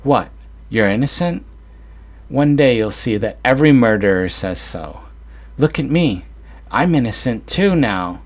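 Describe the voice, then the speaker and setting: A man's voice: measured pace, breathy edge. A man voicing a deranged mother who taunts her son in a horror story. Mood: mocking. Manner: shrill, taunting, frantic. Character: unhinged mother, shrill and mocking.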